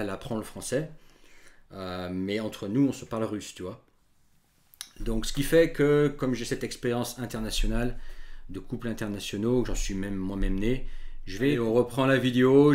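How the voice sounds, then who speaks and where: A man in his thirties whose first language is not French, talking to camera as a dating coach in a casual monologue, often pausing on fillers and restarting his sentences. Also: medium pitch. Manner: hesitant, many fillers and restarts.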